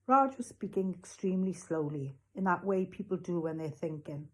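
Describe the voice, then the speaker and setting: Low-voiced woman reading a story. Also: lively intonation, moderate pace. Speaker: reader, school read-along. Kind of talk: scripted children's storybook narration.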